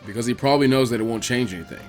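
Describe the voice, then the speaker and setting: A man voicing a gruff, weary, laid-back ninja mentor in a dramatic story reading, with dry, low-key muttering. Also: very fast, soft background music, lightly acted. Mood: calm, frank. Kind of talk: dramatic fan fiction character reading.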